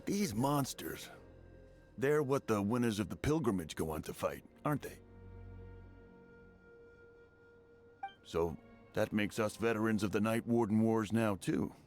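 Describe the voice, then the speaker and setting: Low-voiced easygoing fighter played by a man. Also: expressive, normal speed, darkish tone, faint music behind, lightly acted. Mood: reflective. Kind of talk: video game voice acting.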